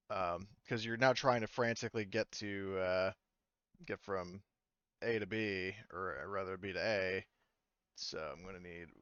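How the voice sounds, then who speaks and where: A man's voice: on the low side, bright, forceful tone, clear, resonant tone, no echo, highs cut off. A man game master improvising his narration of an online tabletop game, hesitantly thinking aloud and swearing casually.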